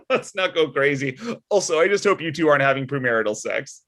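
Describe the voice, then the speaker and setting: A man playing an awkward, earnest widowed father and church deacon in a comedy script read, speaking haltingly. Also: on the high side, expressive, a bit bright. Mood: dry, awkward. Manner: hesitant, halting.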